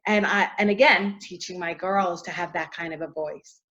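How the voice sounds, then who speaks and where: A middle-aged actress answering interview questions in a casual, chatty way, full of fillers and often trailing off softly. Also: female voice, lowish voice, moderate pace, highs cut off.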